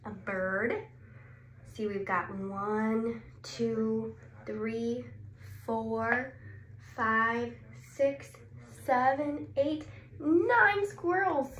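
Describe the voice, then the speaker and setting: A woman in her twenties reading a children's picture book aloud expressively, asking questions and answering them. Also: a little high. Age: 20s. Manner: expressive, exclaiming, asks questions and pauses before answering. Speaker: speech therapist. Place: small indoor clinic room. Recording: roomy echo, clear background noise.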